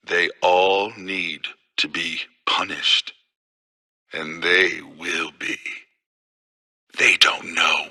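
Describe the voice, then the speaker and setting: Deep, vengeful asylum inmate. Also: male voice, bright, forceful tone, heavily acted. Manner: halting, bitter, intense. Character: tormented, vengeful male asylum inmate, brooding and menacing. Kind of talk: dramatic game monologue.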